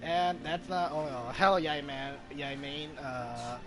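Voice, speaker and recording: A man's voice: on the high side, steady tone, takes it slowly. A man streaming a game, chatting casually in a rambling, hesitant way. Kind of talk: casual livestream chat over gameplay. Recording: game music under the voice. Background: video game music.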